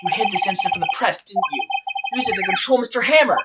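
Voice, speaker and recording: Man as an excitable, insistent young lawyer. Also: high voice, rapid-fire, very noisy. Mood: insistent, accusing. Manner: insistent, exclamatory. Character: earnest, excitable young male defense lawyer. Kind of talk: cartoonish video game fandub acting.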